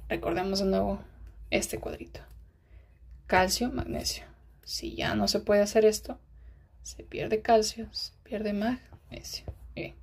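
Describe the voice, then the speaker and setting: Gravelly-voiced woman tutoring pharmacology. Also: mid-range voice, fairly even intonation, takes it slowly, pauses often. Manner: checks in often with rhetorical questions. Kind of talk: explaining a diagram while writing.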